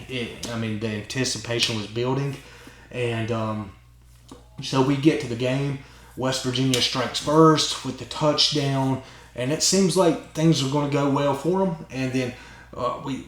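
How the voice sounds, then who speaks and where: A man hosting his own channel tells a long story in a casual, excited way, rambling and often tagging lines with "right?" and "man". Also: mid-range voice. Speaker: channel host. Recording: roomy echo.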